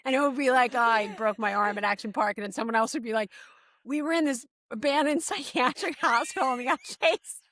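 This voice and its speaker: A woman's voice: on the high side, brisk, fairly bright tone, no echo. A woman from New Jersey in her forties hosting a casual podcast chat, laughing often and telling stories with animation. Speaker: podcast host.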